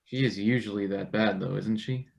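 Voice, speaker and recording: A man's voice: lowish voice, fairly even intonation, normal speed, gravelly, a little mellow. A man playing a nervous young college intern in a stage play reading, stammering, rambling and self-deprecating. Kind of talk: live table read of a satirical stage play.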